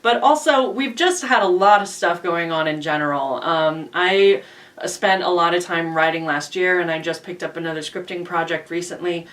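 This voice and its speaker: A woman with a fairly low voice, sitting a bit far from the microphone, co-hosts a channel update. She chats casually and informally to the viewers. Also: moderate pace, a bit bright. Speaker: co-host and voice actress.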